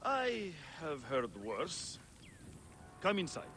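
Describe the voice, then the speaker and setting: A man's voice: fairly high pitch, very animated, slow, over music. An actor playing a blunt, sardonic arena boss and fight promoter in a video game, teasing his fighters. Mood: wry. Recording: noisy arena ambience behind.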